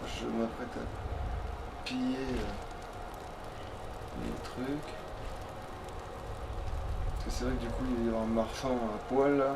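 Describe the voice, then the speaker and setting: A man's voice: medium pitch, flat delivery, takes it slowly, creaky, pauses often. A man chatting casually and slangily as he plays a video game, swearing freely. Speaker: let's play gamer. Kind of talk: casual gameplay chat. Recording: noisy, distant mic, game ambience.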